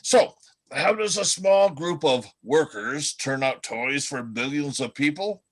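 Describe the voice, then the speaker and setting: A man playing a chatty, world-weary reindeer in an amateur radio play, telling gossip in a folksy, halting way. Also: a little high. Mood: wry, explaining.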